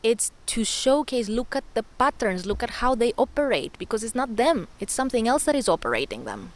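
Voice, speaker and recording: A young woman hosting a spiritual vlog, talking warmly and encouragingly, repeating words and laughing often. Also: fairly high pitch, sing-song, fairly quick. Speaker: spiritual channel host. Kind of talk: personal spiritual vlog monologue.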